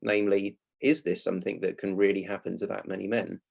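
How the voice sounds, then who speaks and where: A man presenting a prepared public talk in a measured, articulate, explanatory way. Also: low voice, little pitch movement, creaky. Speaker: playwright and actor giving a talk.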